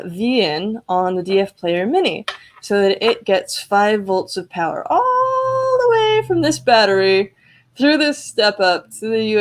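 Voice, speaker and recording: Enthusiastic woman explaining electronics live. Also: mid-range voice. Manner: enthusiastic, exclaiming, chatty. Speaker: host of an electronics prototyping livestream. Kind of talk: casual live demo, explaining hardware.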